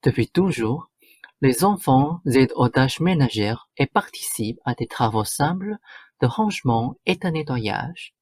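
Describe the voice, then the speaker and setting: A man's voice: medium pitch, animated, measured pace, darkish tone, slightly rough, no echo. A man playing a bossy, short-tempered stepmother in a radio drama, curt and lecturing. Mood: bossy, formal. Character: domineering, short-tempered stepmother laying down the law. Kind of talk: stage-like radio drama acting.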